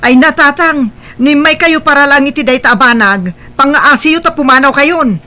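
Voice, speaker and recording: A woman voices a proud, impatient daughter with a bright, sharp voice. She speaks curtly and dismissively, a defiant grown child in a family radio drama. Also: high voice, normal speed. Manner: curt and dismissive. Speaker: voice actor.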